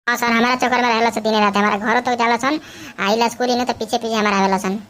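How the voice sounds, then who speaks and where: A woman acts out a quarrelsome cartoon pupil with a high voice and a Bhojpuri accent. The child is sassy and tattling, forever complaining. Loud noise and clicks sit behind the voice. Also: fairly even intonation, fast talker, close to an everyday voice. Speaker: voice actor. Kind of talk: cartoon comedy dialogue. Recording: very noisy background with clicks.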